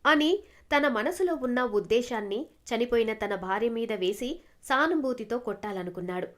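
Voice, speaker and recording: A woman tells a scripted moral tale. Her voice is a little high in pitch, and she speaks as a storyteller narrating events. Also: animated, moderate pace.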